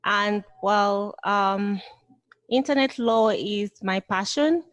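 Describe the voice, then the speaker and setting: A woman's voice: medium pitch, takes it slowly, no echo, lo-fi sound. A young woman, a cyber lawyer and doctoral student, introducing herself lightly and confidently at a conference.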